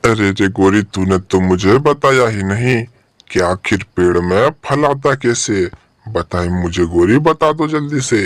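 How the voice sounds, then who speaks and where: A low, dryly recorded cartoon crow acted by a man. He is slow-witted and forever puzzled, pestering others with the same questions again and again. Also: lively intonation, no room sound, studio quality. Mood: insistent. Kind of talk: Hindi animated bird story dialogue.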